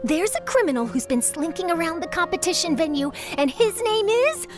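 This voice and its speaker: A keen young female reporter for an anime-style game. The voice is very high and animated, with a confident, theatrical flair. Music plays clearly behind it. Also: fairly quick. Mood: confident, intriguing. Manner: keen, theatrical, self-assured. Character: eager, nosy young female newspaper reporter, confident and perky.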